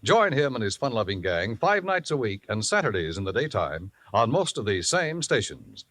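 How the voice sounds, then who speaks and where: A man's voice: lowish voice, sing-song, moderate pace, fairly bright tone. Old-timey radio announcer guy, voice swoops around a lot. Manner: smooth announcer delivery.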